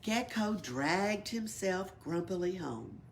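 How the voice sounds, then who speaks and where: Woman storyteller for picture-book narration: very lively intonation, creaky voice, faint noise behind. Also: medium pitch, takes it slowly. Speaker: library read-aloud storyteller.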